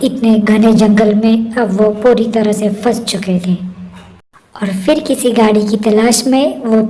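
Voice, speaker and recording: A woman's voice: medium pitch, warm tone, poor audio. A woman reading a scripted horror story as its storyteller.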